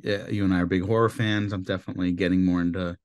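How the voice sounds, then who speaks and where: A man hosting a podcast in casual chat, his voice a little raspy, rambling with lots of filler words. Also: deep voice, flat delivery, fast talker, a little mellow. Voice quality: slightly raspy voice. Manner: chatty, loose, with many filler "like"s. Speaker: podcast host.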